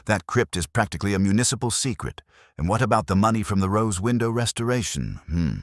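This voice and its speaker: A man voicing an indignant, demanding woman who presides over a parish committee, forceful and snappish. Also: low voice, big pitch swings, moderate pace, no room sound, studio quality.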